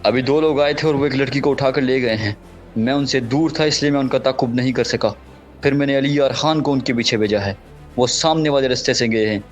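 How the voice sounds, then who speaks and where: A man playing a calm, commanding village chieftain in an audio drama. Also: medium pitch, fairly quick, faint music behind, close to an everyday voice. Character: steady village chieftain, middle-aged man.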